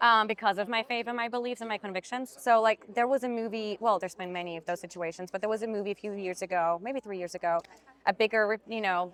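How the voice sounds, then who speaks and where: A young woman from Switzerland with a Swiss accent, an actress telling her story in a casual interview, chatty and animated. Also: mid-range voice, rapid-fire. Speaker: actress, podcast guest.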